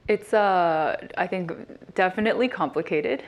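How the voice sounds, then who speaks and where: A woman's voice: on the low side, normal speed, lo-fi sound. Laughing, easygoing woman on a panel. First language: Shanghainese. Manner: laughs often, lighthearted and self-deprecating. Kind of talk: casual panel talk.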